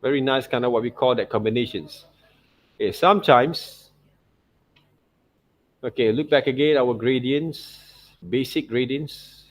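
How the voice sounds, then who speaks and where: A man teaching digital illustration in an informal software demonstration, thinking aloud and often checking in with okay and right. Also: medium pitch.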